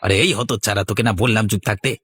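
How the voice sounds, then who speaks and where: Low-voiced, crafty village swindler played by a man. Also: fairly even intonation, racing, a bit bright, slightly rough, no room sound. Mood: oily, persuasive. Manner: gloating, scolding, oily. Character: crafty, boastful male village juice swindler, bossy ringleader. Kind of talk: cartoon voice acting.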